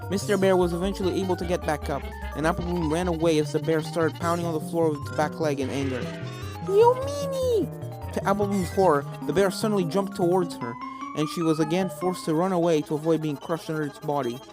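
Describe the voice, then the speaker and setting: A woman with a somewhat low voice narrates a scripted fan fiction story. Video game music plays clearly behind her reading. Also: fairly even intonation, quick pace.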